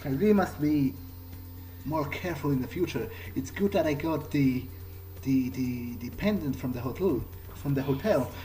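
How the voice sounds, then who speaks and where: A man doing an in-character let's play, thinking aloud in a halting, hesitant way and repeating words. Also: medium pitch, warm tone, faint music behind, poor audio. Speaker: gamer playing in character.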